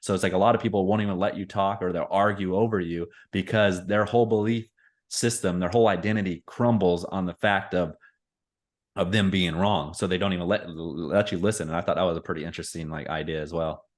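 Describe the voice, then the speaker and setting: A man's voice: low voice, fairly quick. A man in his forties co-hosting a podcast, telling stories casually and acting out what others said. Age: 40s. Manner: animated storytelling with acted-out quotes. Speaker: podcast co-host. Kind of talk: casual chat.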